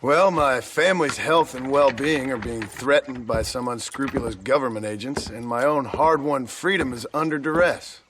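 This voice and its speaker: An actor playing a gruff, sardonic Old West outlaw in a video game, speaking tersely and dryly. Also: male voice, mid-range voice, normal speed, few pauses, background noise, close to an everyday voice. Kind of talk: video game dialogue acting.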